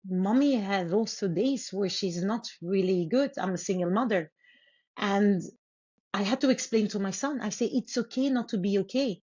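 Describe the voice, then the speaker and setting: A woman's voice: medium pitch, a little mellow. Earnest woman from Congo, muffled audio. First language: Lingala. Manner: earnest, unhurried, with pauses and restarts. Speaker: guest author. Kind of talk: reflective interview storytelling.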